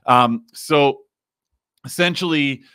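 A man in his thirties, slightly raspy, hosting a casual podcast and explaining things with plenty of fillers, recorded in a home studio. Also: mid-range voice, slow, pushed and bright, dry recording. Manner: explains while screen-sharing, many fillers. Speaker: podcast host. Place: home studio with neon sign.

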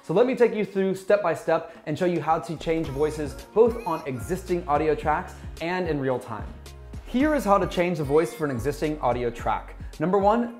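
A man in his thirties giving a scripted software tutorial in an upbeat presenter's manner, speaking into a lapel mic in a small office. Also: a little high. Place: small bright office, indoors. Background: background music.

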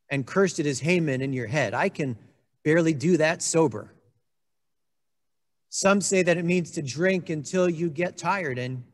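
A man in his forties delivers a sermon in a steady, earnest way, now and then slipping in a dry aside. He speaks into a desk microphone, and his somewhat high voice trails off into a long reverb. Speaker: congregation rabbi. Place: indoor room with a wood-panelled wall. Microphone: desk microphone on a stand.

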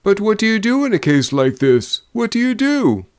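A man acts out a talkative city salesman for a Western storybook. The voice sits high and excitable, clear and smooth. He fires off questions like a jocular fellow out of his depth. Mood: anxious, questioning. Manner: excitable, rapid-fire questioning. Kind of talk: storybook character voice in a Western tale.

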